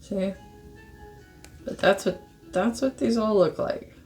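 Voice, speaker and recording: A middle-aged woman with a hoarse, scratchy voice, chatting slowly to viewers as she reviews art pens and marvels at their colors. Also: medium pitch, darkish tone, soft background music. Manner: slow, musing, easily amazed, talks to viewers. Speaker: craft channel host. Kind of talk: casual product review chat.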